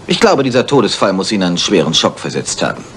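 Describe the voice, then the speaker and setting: An actor playing an exasperated, patronising police chief inspector in a German crime radio play. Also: male voice, low-pitched, very animated, fast talker, slight background noise. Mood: placating. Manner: incredulous, placating.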